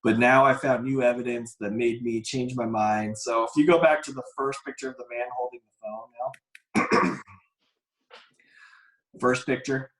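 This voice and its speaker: Guy hosting a casual podcast, voice going, middling pitch, roomy echo. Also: fairly bright tone. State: losing his voice, throat-clearing. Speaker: podcast host. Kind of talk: casual panel talk, presenting slides. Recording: echoey room.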